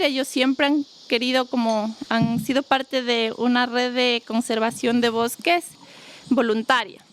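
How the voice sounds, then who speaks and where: Woman with a higher voice. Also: a little hiss or hum. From Ecuador. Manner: thoughtful, steady, often uses connecting fillers. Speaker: guest, family farmer. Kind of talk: casual interview talk. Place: rural farm, crickets and insects around.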